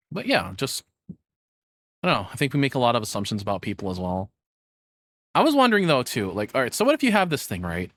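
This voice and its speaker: A man's voice: on the low side, a bit bright, no room sound, studio quality. A man giving casual, off-the-cuff reaction commentary as a streamer, rambling and blunt.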